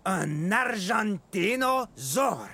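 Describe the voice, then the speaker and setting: A man's voice: high-pitched, sing-song, extremely slow, sharp tone, breathy edge, heavily acted. A man voicing a huge, clumsy, friendly plant-eating dinosaur who explains things sheepishly in a children's educational cartoon.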